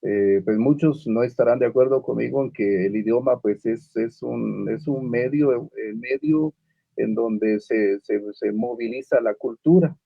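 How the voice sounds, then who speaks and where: A man from Guatemala whose first language is Uspanteko, speaking on a literary panel with many pauses, fillers and restarts. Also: medium pitch, creaky, breathy edge, no room sound, dull audio. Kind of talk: unscripted panel talk.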